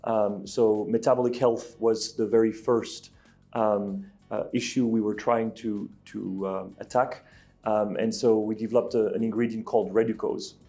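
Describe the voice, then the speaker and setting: Halting man with a mellow voice. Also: lowish voice. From Belgium. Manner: hesitant, many ums and restarts. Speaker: guest, ingredient company executive. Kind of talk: interview answers.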